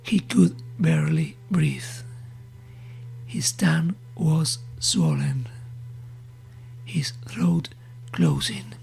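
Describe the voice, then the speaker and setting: Very slow, hushed woman narrating horror. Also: low voice, sing-song, creaky, whispered, poor audio. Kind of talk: scripted horror story narration.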